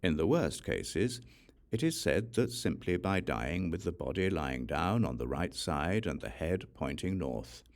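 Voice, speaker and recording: Low-pitched, gravelly man reading an audiobook. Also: lively intonation, measured pace. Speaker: audiobook narrator. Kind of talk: scripted audiobook narration of a religious text.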